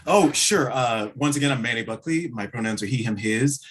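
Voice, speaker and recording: A man with a medium-pitched voice talks as a director being interviewed. His phrasing is expressive and emphatic, in a conversational style. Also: a little fast.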